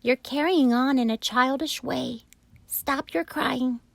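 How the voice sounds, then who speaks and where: A woman voicing a wise, kindly female spider with a sweet, measured and brisk way of speaking, a bit higher than her own voice. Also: gravelly, dry recording, full character voice. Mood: firm. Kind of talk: storybook reading.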